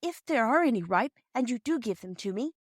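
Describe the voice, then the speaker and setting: A woman's voice: on the high side, expressive, a little fast, dry recording, clean studio sound, pitched above the performer's own voice. A woman voicing an eager, candid young girl in a children's storybook, speaking earnestly. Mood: hopeful.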